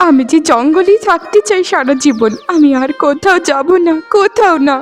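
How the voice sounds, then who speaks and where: A woman's voice: sits high, animated, moderate pace. A woman voicing a meek, rejected young bride in a melodramatic cartoon, pleading and repeating her phrases. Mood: despairing. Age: young adult. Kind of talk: Bengali cartoon dubbing, melodrama. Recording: music behind the voice. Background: background music.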